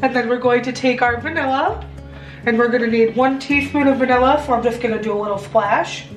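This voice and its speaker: A woman's voice: fairly high pitch, brisk, faint music behind. A young adult American woman talking through a recipe in a chatty, step-by-step home tutorial style. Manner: chatty, instructive. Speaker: home baking vlogger. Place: home kitchen, indoors. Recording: roomy kitchen echo.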